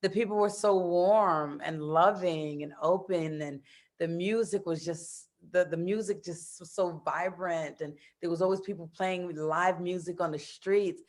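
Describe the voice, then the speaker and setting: Chatty American woman, lowish voice. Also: a little slow. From United States. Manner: chatty and animated, laughs often, many fillers. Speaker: interview guest, expat in Brazil. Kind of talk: casual interview chat.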